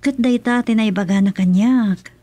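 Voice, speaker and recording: A woman's voice: a little high, moderate pace, mellow, dry recording, lightly acted. A woman playing a concerned family member in a radio drama comedy, with an Ilocano accent.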